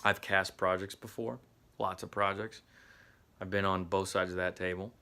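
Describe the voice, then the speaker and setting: A middle-aged man with a Southern US accent speaks earnestly to camera, coaching actors. His voice sits a little low, and he often repeats and restarts words as he goes. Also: level tone, moderate pace, sharp tone. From US Midwest. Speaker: acting coach. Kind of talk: motivational talk to camera.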